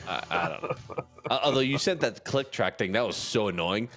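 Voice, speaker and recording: A man's voice: lowish voice, animated, moderate pace, fairly bright tone. A man co-hosting a podcast with joking, teasing, exclamatory banter. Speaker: podcast co-host.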